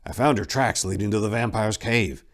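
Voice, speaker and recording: Guy doing a grim suspicious monk warrior, deep voice, short serious lines, storybook reading. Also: normal speed, natural voice. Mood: stern, skeptical. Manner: terse, grave, pressing. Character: stern, skeptical warrior monk questioning his brothers.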